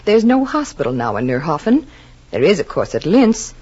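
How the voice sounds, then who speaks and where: Casting: candid, talkative military wife, low husky voice with lively swings, vintage radio drama. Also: female voice, moderate pace, heavily acted. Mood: hesitant concern. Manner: chatty, candid, hesitant when advising. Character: frank, chatty, homesick American officer's wife. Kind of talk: 1940s radio drama acting. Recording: muffled old radio broadcast.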